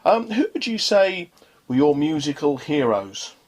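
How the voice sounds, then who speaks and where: A man's voice: on the high side, big pitch swings, measured pace. A man hosting a casual radio interview, chatting in a rambling way with frequent tag questions. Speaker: radio show host.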